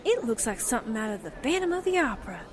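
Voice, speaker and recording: A woman's voice: a little high, sing-song, quick pace. A woman playing a breezy, slangy, wistful young newspaper sidekick in a 1940s-style radio drama. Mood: wowed, eager.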